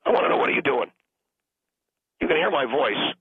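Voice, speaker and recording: A hoarse man rants down a tinny phone line, his strained voice raspy and gravelly. He scolds with mock outrage, his pitch leaping all over the place. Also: sing-song, fairly quick, no echo. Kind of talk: comic call-in rant.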